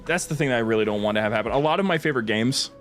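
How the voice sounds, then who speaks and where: A man's voice: medium pitch, expressive, rapid-fire, a bit bright, faint music behind. A man chatting casually and giving his opinions on a gaming stream. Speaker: stream host.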